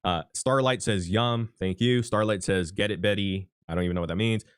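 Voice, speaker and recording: A man's voice: on the low side, steady tone, brisk, clear, resonant tone, dry recording, clean studio sound. A man hosting a casual live stream, reading out viewer questions in an offhand, noncommittal way with some swearing.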